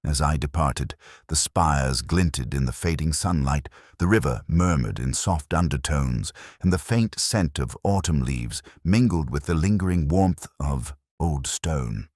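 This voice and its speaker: A man reading a scripted first-person detective story in a measured, formal, literary manner. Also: very deep voice, expressive, a little mellow, dry recording, clean studio sound. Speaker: audiobook narrator.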